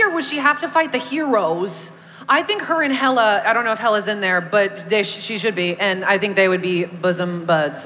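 Woman panelist, moderately high register, informal chat, echoey convention hall. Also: fairly bright tone, a little hiss or hum. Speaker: panelist, video game voice actor. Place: on stage in a large hall at a convention.

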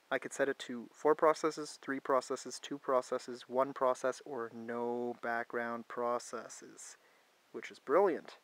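A man's voice: medium pitch, no echo, low-quality recording. A man with a stuffed-up, congested voice, casually talking through phone settings in a hands-on review, thinking aloud and often restarting. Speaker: tech reviewer.

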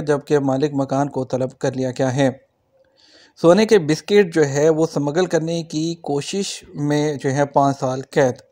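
A man's voice: on the high side, warm tone. A man reading scripted news items as a narrator for an online channel, in a formal manner.

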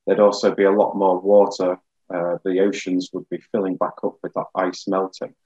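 A man in his thirties explaining science informally and in a measured way, as a guest geologist on a webinar. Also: low-pitched, flat delivery, dark tone, lo-fi sound. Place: small plain room indoors. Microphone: video call.

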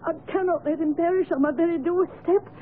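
Very high, sobbing servant woman. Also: a little fast, slight background noise. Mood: tearful pleading. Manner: stammering, deferential. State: tearful, sobbing. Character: frightened, pleading female household servant. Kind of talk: radio drama acting. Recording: tinny old radio broadcast.

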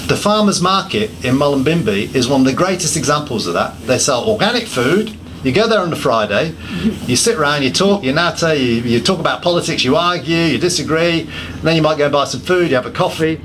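A man's voice: a little high, animated, a little fast, background noise. Man giving an impassioned talk in a pub. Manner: self-deprecating, rambling restarts, emphatic lists. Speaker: speaker at a public talk. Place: pub function room.

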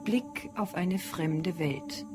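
A woman's voice: on the low side, very slow, over loud music. A woman reading scripted narration that links the scenes of an audio drama.

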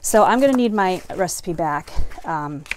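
A middle-aged woman teaching a live card-making class from her craft room, chatting and greeting viewers as she demonstrates, feeling a bit foggy and slow today. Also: on the low side, animated, a little mellow, slight background noise.

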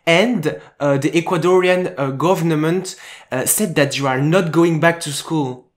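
A young man hosting an interview over a video call from home, asking questions haltingly with many fillers. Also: on the high side. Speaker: host. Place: home room. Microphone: earphone mic on a video call.

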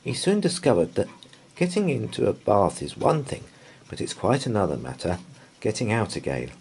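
A man reading a bedtime story aloud in an expressive, deliberate way. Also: fairly low pitch, rough recording. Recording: faint background noise.

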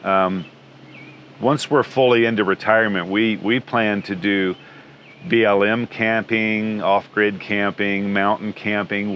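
Friendly low-voiced older man outdoors. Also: a bit bright, slight background noise. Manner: friendly, rambling with restarts. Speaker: trailer owner interviewee. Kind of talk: casual interview answers. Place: outdoors beside a travel trailer.

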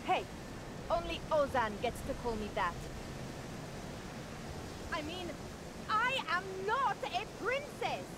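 High-voiced, spirited young heroine. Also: female voice, animated, slow pace, long pauses, background noise, heavily acted. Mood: defiant. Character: spirited, proud young female desert fighter. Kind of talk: video game voice acting.

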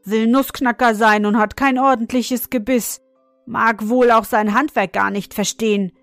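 A woman voicing a rough, scornful young boy who demands his toy back. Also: on the high side, normal speed, dry recording, faint music behind, natural voice.

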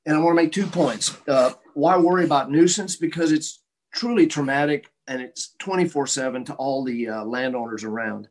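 A man's voice: fairly high pitch, normal speed. An older man giving prepared testimony at a town hall over a video call from a room at home. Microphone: computer mic on video call.

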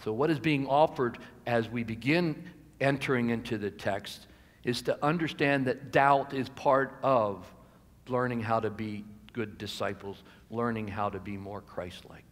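A man's voice: medium pitch, unhurried. American man preaching in a reverberant hall. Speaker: pastor preaching. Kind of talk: sermon. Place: church sanctuary at a pulpit. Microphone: gooseneck pulpit microphone. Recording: reverberant hall, amplified pulpit sound.

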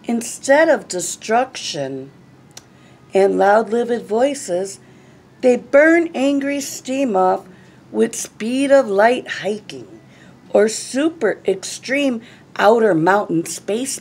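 A middle-aged woman reading a children's picture book aloud in an expressive, deliberate, phrase-by-phrase way. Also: fairly high pitch. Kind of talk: read-aloud storytelling. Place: small classroom, indoors. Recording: clearly noisy room recording.

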